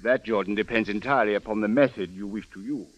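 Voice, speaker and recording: An actor playing an earnest, protective police official in an old radio drama, with formal, deliberate and emphatic phrasing. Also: male voice, on the low side, dry recording, lightly acted. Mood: weary, puzzled. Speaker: supporting actor. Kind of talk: 1940s radio acting. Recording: dry, dull old radio recording.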